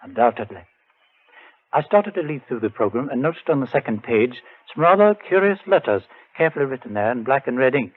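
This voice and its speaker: An actor playing a troubled, reserved bereaved brother in a 1940s radio drama, speaking in a measured, formal, understated way. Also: male voice, medium pitch, lively intonation, natural voice. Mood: recollecting, puzzled. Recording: narrow, tinny 1940s radio broadcast.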